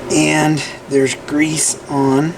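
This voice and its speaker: A man's voice: on the high side, flat delivery, drawn-out words, vocal fry, noisy background. Chatty man explaining a repair at his bench. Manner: conversational, frequent "right?" and restarts. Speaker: repair channel host. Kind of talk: casual repair tutorial. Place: workbench room.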